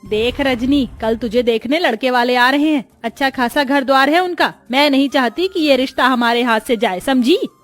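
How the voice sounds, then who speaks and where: Woman as a high-pitched nagging village mother. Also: moderate pace, hardly any pauses, soft background music, lightly acted. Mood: stern warning. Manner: nagging, exasperated. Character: scolding, sharp-tongued poor village mother. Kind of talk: Hindi cartoon moral story voice.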